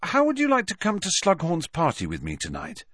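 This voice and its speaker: A man's voice: a little high, wildly swooping pitch, fairly quick, a bit bright, no room sound, studio quality, pitched above the performer's own voice, heavily acted. A man playing an awkward, friendly teenage schoolboy in an audiobook, plain-spoken and a little hesitant. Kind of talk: audiobook character voice.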